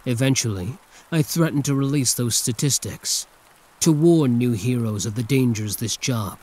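A man's voice: medium pitch, normal speed, a little mellow, natural voice. A man playing a condescending yet protective hero turned villain in an intimate, theatrical ASMR roleplay monologue. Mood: intense, protective.

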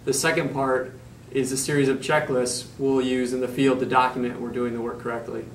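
A young man explains things in a flat, even tone at a medium pitch. He sounds set back from the mic in a roomy small office, with faint hum behind. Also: a little fast, far from the mic. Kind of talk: prepared explainer.

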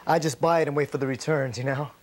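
A man's voice: a little high, fairly even intonation, fast talker, a little mellow, natural voice. An actor in his thirties playing a slick, brash investment manager who is evasive under pressure, in a corporate training-film drama. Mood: smooth, placating. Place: indoor office.

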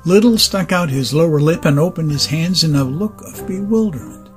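Male narrator for dramatized fiction, fairly high voice, light music underneath. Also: normal speed.

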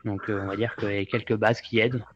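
A man's voice: on the low side, level tone, quick pace, a bit bright, lo-fi sound. A man introducing himself modestly as a beginner player, with a lot of hesitant filler words.

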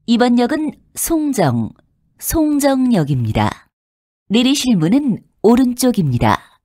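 A woman's voice: on the high side, big pitch swings, a little slow, dark tone, no room sound, studio quality. A woman reading scripted subway announcements in a polite, formal and carefully enunciated way. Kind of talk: scripted public transit announcement.

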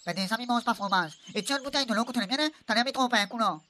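A woman's voice: a little high, pushed and bright, a little hiss or hum. A woman playing a cheeky Réunionese island guy. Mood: teasing. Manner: rapid teasing banter. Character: cheeky mocking male island buddy. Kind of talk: local comedy radio soap acting.

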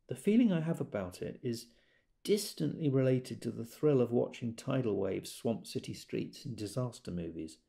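Rueful fussy everyman telling his story, creaky voice, really animated pitch, audio drama monologue. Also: moderate pace. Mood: reflective. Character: series of rueful, self-doubting everyday monologue narrators.

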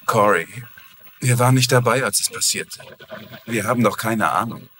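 A man's voice: lowish voice, normal speed, pushed and bright, slight background noise. An actor playing a defensive young man in a dubbed TV mystery, earnest and insistent about his father. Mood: placating. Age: young adult. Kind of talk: dubbed TV mystery drama acting.

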